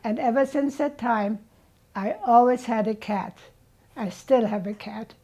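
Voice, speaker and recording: A woman, telling her childhood story as an interviewee in a slow, reflective way. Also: on the high side, dark tone. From Germany. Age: 80s. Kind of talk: reminiscing about childhood.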